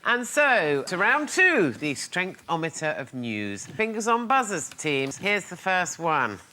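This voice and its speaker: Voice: mid-range voice, sing-song, slow. Dry panel show presenter, reads items then cracks jokes, noisy studio sound. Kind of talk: scripted presenting with quips.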